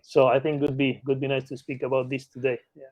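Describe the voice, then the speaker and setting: A man's voice: medium pitch, little pitch movement, fast talker, darkish tone, no room sound. A man from Argentina with an Argentine Spanish accent explains his work conversationally as an interview guest, often ending points with short tag questions. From Patagonia, Argentina. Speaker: interview guest, mergers and acquisitions consultant.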